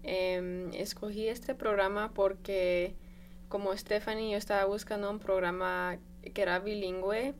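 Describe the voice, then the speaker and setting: A young woman student, born in Guatemala and raised in Milwaukee, answering interview questions in a calm, measured way. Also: mid-range voice, a little hiss or hum. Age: young adult. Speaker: student guest.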